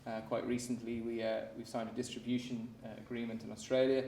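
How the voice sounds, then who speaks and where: A man with an Irish accent giving a startup pitch, often restarting his sentences around "uh" and repeated words. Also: level tone, fast, warm tone. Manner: frequent fillers and repeated restarts. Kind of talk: pitch presentation. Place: conference hall. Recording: echoey hall, distant mic, faint noise.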